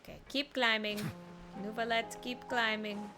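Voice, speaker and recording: A woman's voice: fairly high pitch, steady tone, measured pace, music in the background. A woman streaming a game, giving casual live commentary with playful, wry asides.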